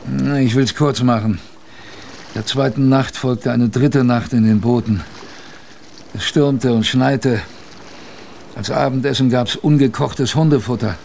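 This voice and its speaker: Creaky, weary sailor narrator. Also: male voice, mid-range voice, fairly even intonation, measured pace, a little mellow, a little hiss or hum. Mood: weary, grim. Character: weary polar expedition sailor recounting his ordeal. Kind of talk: first-person radio drama narration.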